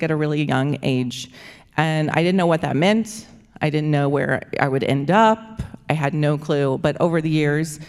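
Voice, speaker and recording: A young woman with a low voice talks casually to a church audience, wandering from point to point with plenty of fillers. Her words carry a long reverb from the hall she speaks in. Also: darkish tone. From United States. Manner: chatty, rambling, with frequent fillers and likes. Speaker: missionary speaker. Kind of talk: casual church presentation with slides.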